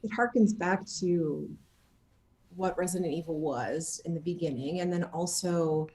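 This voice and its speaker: A woman chatting casually as an interview guest, animated and given to acting out what people said. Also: fairly low pitch, unhurried. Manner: animated, acts out quoted reactions. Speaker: guest, actor interviewed about game casting and motion capture. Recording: echoey room sound.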